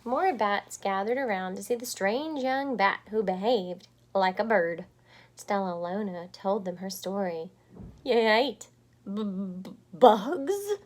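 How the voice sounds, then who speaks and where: A woman narrating a children's storybook aloud in an expressive read-aloud style. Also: medium pitch, measured pace. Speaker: storybook reader.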